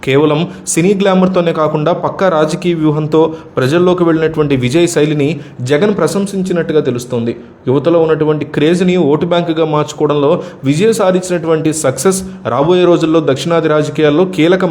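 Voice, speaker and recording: A man's voice: fairly high pitch, quick pace, darkish tone. A man from or reads a scripted news commentary as a voice-over narrator.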